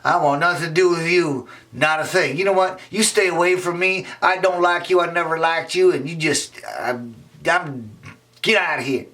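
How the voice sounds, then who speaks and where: A man's voice: a little high, fairly even intonation, unhurried, few pauses, a little mellow, distant mic, pitched above the performer's own voice, natural voice. A man voicing a schoolgirl who turns cold and scornful. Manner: scornful, dismissive.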